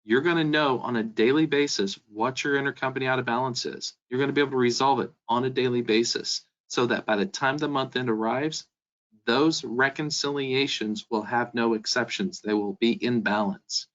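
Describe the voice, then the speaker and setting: A man giving an explanatory webinar presentation, speaking directly to his listeners as a business software presenter. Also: mid-range voice, fairly even intonation, normal speed. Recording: dull, band-limited webinar audio.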